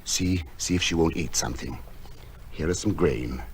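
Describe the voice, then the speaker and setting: A man playing a gentle, lonely recluse in a radio drama, speaking and narrating in a measured, literary way. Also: extremely low pitch, slight background noise. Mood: tender storytelling. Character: lonely, gentle, misshapen male recluse who tends wild birds. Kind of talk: radio drama acting and first-person narration. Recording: music behind the voice.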